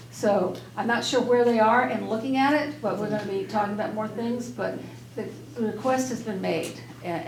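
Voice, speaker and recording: A soft-voiced woman on city council speaks earnestly to a public meeting, rambling a little as she makes her case. Her voice sits in the middle of the range. The room is echoey, with a faint hum behind her. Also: medium pitch, gravelly. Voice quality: soft-spoken. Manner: earnest, persuasive, slightly rambling.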